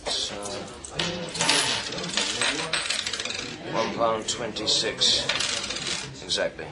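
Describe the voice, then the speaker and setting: An actor playing a world-weary British police inspector, dry and laconic, in a naturalistic crime drama. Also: male voice, fairly low pitch, slow, heavy background noise. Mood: casual bluffing.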